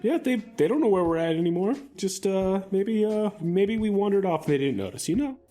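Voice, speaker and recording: A man's voice: sits high, lively intonation, a little fast, warm tone. Male tabletop player, casual improvised roleplay, tentative musing phrasing, music behind him. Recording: background music under the voice.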